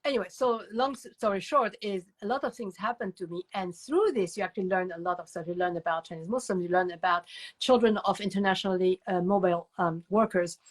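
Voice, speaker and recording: An older woman giving conversational interview answers as a guest author, telling stories in an animated, digressive way with emphatic repetition. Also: medium pitch, fast.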